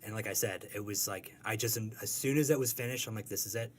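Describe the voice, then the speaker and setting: A man's voice: on the low side, fast talker, a little hiss or hum. A young adult man, a comic strip creator, talking casually in an interview and telling rambling, self-deprecating stories.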